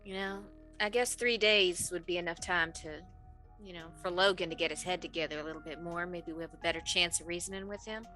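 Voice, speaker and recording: A woman's voice: lowish voice, brisk, sharp tone, faint music behind. A woman playing a tabletop game, speaking casually in character with other players.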